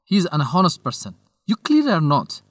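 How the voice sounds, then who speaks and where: An earnest young man in a radio drama, loyal and somewhat shy. His voice sits a little high with very expressive movement, in a dry studio. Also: moderate pace, slightly rough, dry recording, exaggerated voice. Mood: indignant. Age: young adult. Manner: earnest, hesitant. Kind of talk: educational radio drama acting.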